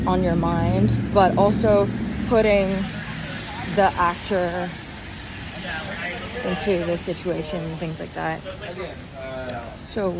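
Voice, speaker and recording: A young adult woman talking in a casual, rambling video diary, halting and candid, often interrupting herself. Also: mid-range voice. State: physically tired. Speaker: vlogger and acting student. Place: outdoors on an urban walk. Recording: outdoor street noise, passing cars. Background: traffic and city ambience.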